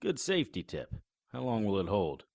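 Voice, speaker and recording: A man's voice: on the low side, wildly swooping pitch, normal speed, gravelly, a little mellow, no echo, full character voice. A man playing a wisecracking monster hunter in an audio drama, speaking in a dry, sardonic, matter-of-fact way. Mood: wry. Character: wisecracking middle-aged male monster hunter. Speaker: vampire hunter and narrator.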